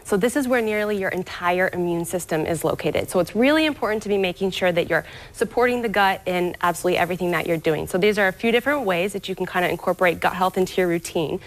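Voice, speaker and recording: A woman guest on a TV lifestyle show, upbeat and explanatory as she walks through health tips in a studio. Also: mid-range voice. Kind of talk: TV morning show interview.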